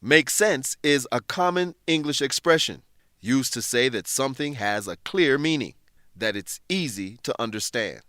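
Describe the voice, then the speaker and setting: A man presenting an English lesson in scripted narration, explaining things in a measured way with careful enunciation. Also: medium pitch, expressive, a bit bright, dry recording, studio quality.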